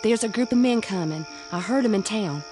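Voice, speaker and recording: An actress playing an earnest young country sweetheart in a radio drama, with a rural Southern US accent and plain-spoken manner. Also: female voice, mid-range voice, lively intonation, fairly quick, music in the background, lightly acted. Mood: worried, pleading.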